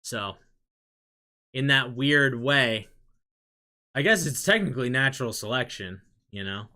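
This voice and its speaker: A young man talking casually to his audience, a loose rambling ramble that drifts into quieter muttered asides. His voice sits at an ordinary mid pitch. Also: animated, a little slow. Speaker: streamer chatting with viewers.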